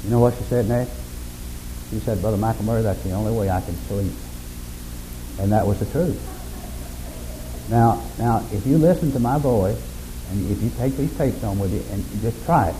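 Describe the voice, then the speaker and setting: A man preaching a sermon in a folksy, unhurried way, with self-deprecating humor and a monotonous, mellow voice. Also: fairly low pitch, slight background noise, low-quality recording. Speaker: pastor.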